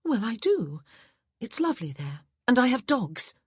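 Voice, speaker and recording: A woman's voice: a little high, very animated, normal speed, husky, dry recording, studio quality, voice pushed up. A narrator voicing an earnest young married woman who speaks eagerly in a period audiobook. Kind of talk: audiobook character voice.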